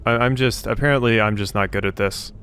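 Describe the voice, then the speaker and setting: A man's voice: fairly low pitch, fairly even intonation, a little fast, a bit bright, clean tone. A man doing casual gameplay commentary, muttering to himself as he thinks aloud in a self-deprecating way. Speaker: let's play host.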